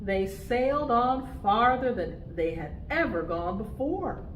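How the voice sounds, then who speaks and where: A woman reading a picture book aloud to preschoolers, with expressive, deliberate phrasing. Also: a little high, reverberant, a little hiss or hum, low-quality recording. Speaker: storytime reader for preschoolers.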